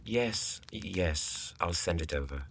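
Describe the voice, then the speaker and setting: A man playing an earnest, melancholy young gentleman architect in a period audio drama. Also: low-pitched, expressive, slow, creaky, slight background noise, full character voice. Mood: guarded, dejected.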